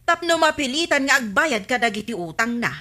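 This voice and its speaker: A feisty village woman with a bright, forceful voice on the high side. She speaks with an Ilocano accent in the broad, complaining manner of a radio comedy. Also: expressive, fairly quick. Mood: indignant, scheming.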